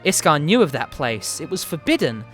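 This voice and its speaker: A man reading a fantasy story aloud as narrator, with dramatic, deliberate phrasing. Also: a little high, big pitch swings, fairly bright tone. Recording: music behind the voice. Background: background music.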